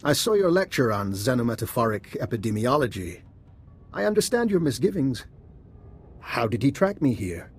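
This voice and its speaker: Husky, articulate scheming scientist. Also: male voice, mid-range voice, very animated, soft background music. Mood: calm, persuasive. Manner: articulate, measured, persuasive. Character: resourceful, composed male scientist doctor scheming as a spy. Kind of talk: video game cutscene acting.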